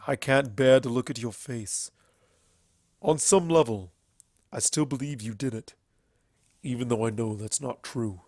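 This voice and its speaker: A man's voice: mid-range voice, a little mellow, no echo, clean studio sound. Man playing a brooding, guilt-ridden stallion. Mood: pained, bitter. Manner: halting, heavy, brooding. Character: troubled, guilt-ridden old stallion alicorn, bitter and weary.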